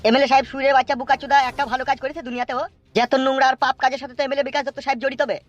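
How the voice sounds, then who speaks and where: A man's voice: very high-pitched, little pitch movement, rapid-fire, highs cut off. A man voicing a weary, cynical, foul-mouthed henchman in a comedy cartoon, crude and grumbling. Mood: indignant, cynical. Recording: music behind the voice.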